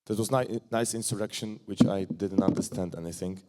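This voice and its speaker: A man with a Czech accent giving a joking, blunt conference talk, with frequent restarts and a fairly low voice. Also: a little fast, heavy background noise. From Czech Republic. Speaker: conference speaker. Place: conference hall.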